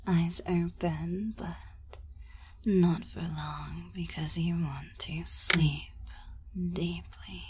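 A woman whispering a guided ASMR hypnosis script in a breathy voice, soothing yet commanding and suggestive, with drawn-out pauses. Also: fairly low pitch, steady tone, crowd noise.

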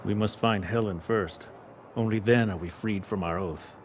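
An actor playing a grave, level-headed Greek warrior who speaks with restraint in a game cutscene. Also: male voice, lowish voice, moderate pace, a little hiss or hum, natural voice. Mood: resolute. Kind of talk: dramatic video game cutscene acting.

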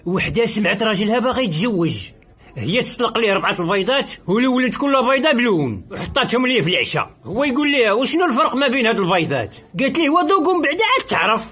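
A man with a Moroccan accent telling short comic stories as a lively narrator. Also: extremely high pitch, racing, husky. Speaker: joke teller, narrator. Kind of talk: animated comic storytelling of short jokes.

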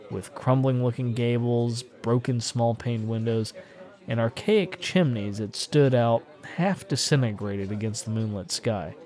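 A man's voice: medium pitch, a little mellow. A man narrating a classic horror story in a dramatic, literary audiobook style.

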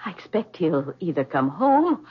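Husky, guarded society widow. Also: female voice, on the low side, big pitch swings, measured pace, heavily acted. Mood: guarded, composed. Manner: guarded, polite. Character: composed, evasive middle-aged wealthy widow. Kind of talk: 1970s radio drama acting. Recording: muffled vintage radio broadcast.

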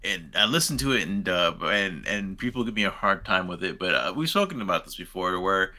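A man's voice: sits low, animated, brisk, pushed and bright. A man in his thirties speaking as a podcast guest in a casual, conversational way over a video call. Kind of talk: casual chat about anime and manga. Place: home room with bookshelves, video call.

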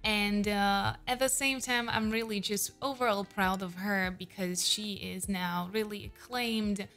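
A woman in her thirties, a vocal coach, reacting to a song in an excited, gushing way with lots of "uh" fillers, speaking English as a non-native speaker, close to a studio mic at home. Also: mid-range voice, unhurried, soft background music. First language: not English. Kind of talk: casual reaction commentary. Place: home room with shelves, indoors. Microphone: large studio condenser mic close up.

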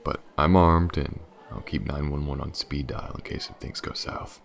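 A man playing a gruff, wary, sardonic detective in an audio roleplay, gravelly and halting in his delivery. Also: extremely low pitch. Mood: wary, earnest. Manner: halting, muttering.

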